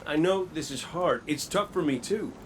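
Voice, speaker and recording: An actor playing a cocky but soft-hearted gunslinger in a video game cutscene, talking in a casual, teasing way. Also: male voice, fairly high pitch, normal speed, slight background noise, natural voice. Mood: gently consoling. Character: roguish, cocky male gunslinger with a soft side. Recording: distant voice under loud music. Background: music.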